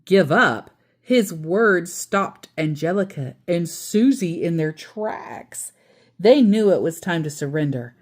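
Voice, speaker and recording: Woman reading a storybook with lively, swooping intonation. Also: fairly low pitch, slow. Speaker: storyteller reading a children's book aloud. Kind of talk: expressive storybook read-aloud with casual asides.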